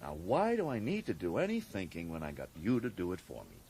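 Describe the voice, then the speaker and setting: A sly, low-voiced American agent. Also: male voice, huge pitch swings, a little mellow, exaggerated voice. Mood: coy, casual. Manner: sly, hedging, drawn-out emphatic phrasing. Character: evasive, jokey, folksy government agent hinting at secrets. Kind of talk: 1980s radio drama acting. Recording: noisy old radio broadcast recording.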